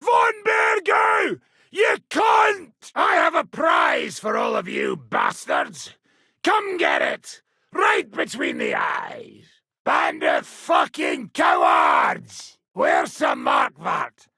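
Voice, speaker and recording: Video game role: rough medieval warlord, combative and profane, badly husky, pitched high for a man with wild swings. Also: huge pitch swings, extremely slow, sharp tone, no room sound, raised voice, clean studio sound, some chatter behind, heavily acted. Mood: battle fury. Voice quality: gravelly, raspy. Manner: blunt, profane, gruff. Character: coarse, combative, foul-mouthed medieval mercenary commander. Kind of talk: video game character acting.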